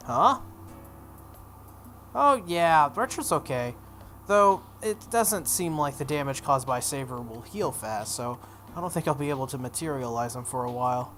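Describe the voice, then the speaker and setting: Man reading as a brisk, guarded teenage girl. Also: medium pitch, animated, a bit bright, faint music behind, lightly acted. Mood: matter-of-fact. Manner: matter-of-fact, cautioning. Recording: noticeable background noise.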